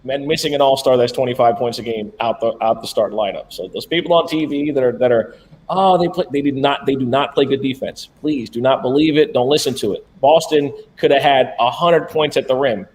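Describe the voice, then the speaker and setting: A man's voice: medium pitch, fairly quick. A man co-hosting a sports betting podcast, talking bluntly and with profanity in casual chat. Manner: blunt, profane, animated about basketball. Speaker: podcast co-host.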